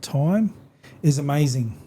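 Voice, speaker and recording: A man's voice: fairly high pitch, lively intonation, slow pace, dark tone, a little hiss or hum. A man speaking as a podcast co-host, introducing the show and chatting conversationally with his guest.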